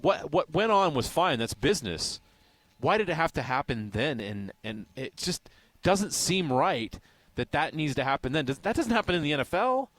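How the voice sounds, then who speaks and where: A man's voice: a little high, big pitch swings, moderate pace, fairly bright tone, no room sound. Blunt American man on sports radio. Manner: opinionated, blunt. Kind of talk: casual sports radio talk.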